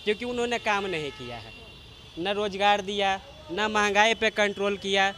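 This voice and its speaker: A man's voice: sits high, normal speed, sharp tone. A man giving his opinion in a street interview, arguing his case point by point. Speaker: interviewed member of the public. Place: outdoors on a city street. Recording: street noise behind the voice.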